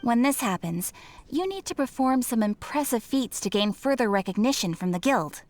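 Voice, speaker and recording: An actress playing a polite young guild receptionist in a video game, explaining things in a courteous, formal and measured manner. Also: female voice, on the high side, expressive, darkish tone, lightly acted. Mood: formal, welcoming. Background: game music.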